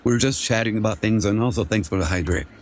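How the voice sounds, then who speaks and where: A man's voice: on the low side, fast talker, darkish tone, a little hiss or hum. A man hosting a casual livestream, chatting informally with some swearing and often repeating his phrases. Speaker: livestream host.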